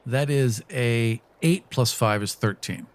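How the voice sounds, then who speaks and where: A man's voice: mid-range voice, takes it slowly. A man talking casually at the game table as a player in a tabletop roleplaying game.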